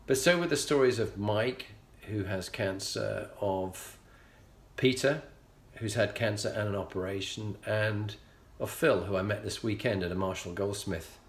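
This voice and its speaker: A middle-aged man with a Yorkshire accent, telling a personal story as a show host in an earnest, measured way with frequent pauses. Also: fairly low pitch. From England. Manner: earnest, measured, pausing often. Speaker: leadership coach and show host. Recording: echoey room.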